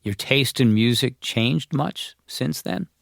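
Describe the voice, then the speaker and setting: A man interviewing a musician in a relaxed way, with a gravelly, creaky voice at a middling pitch. The sound is dry and close. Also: takes it slowly, no echo. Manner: relaxed, short questions and brief replies. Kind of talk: casual interview questions.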